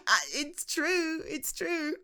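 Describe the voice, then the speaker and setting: A British woman playing a teasing, self-deprecating best friend in her late thirties in a chatty, halting ASMR roleplay monologue. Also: very high-pitched, pushed and bright, heavily acted. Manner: halting, chatty, with sighs and chuckles. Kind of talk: ASMR roleplay acting, intimate conversational monologue.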